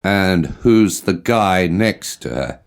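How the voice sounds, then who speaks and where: A man reading lines of English course dialogues with expressive, questioning intonation. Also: low-pitched, slow pace, vocal fry, smooth. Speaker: dialogue reader in a language course. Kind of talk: slow, clearly read textbook dialogue.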